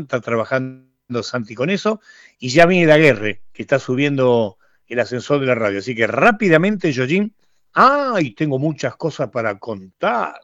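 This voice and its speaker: A man's voice: mid-range voice, fairly bright tone, no room sound. Man, Argentine accent, radio host, chatty and digressive, casual talk, dry close sound, slightly dull studio recording.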